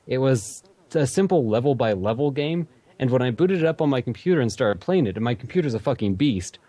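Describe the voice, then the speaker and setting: A man's voice: mid-range voice, lively intonation, a little fast, mellow, no room sound. A man from Canada with a slight Canadian accent, hosting a casual gaming podcast in a blunt, profane way. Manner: blunt, swears a lot, laughs between lines.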